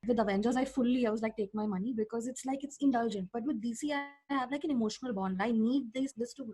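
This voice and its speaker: A woman podcast guest talking casually and emphatically about her opinions, with a husky voice. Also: a little high, fast, mellow. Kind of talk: casual opinionated pop-culture chat.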